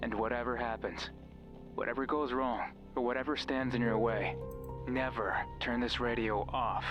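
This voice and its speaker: An actor playing a mysterious, controlling friend who coaxes and instructs the listener over a radio in a horror game. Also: male voice, medium pitch, moderate pace, lo-fi sound, lightly acted. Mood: grave warning. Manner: instructing, coaxing, addresses listener directly. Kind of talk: horror visual novel voice acting. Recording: music behind the voice.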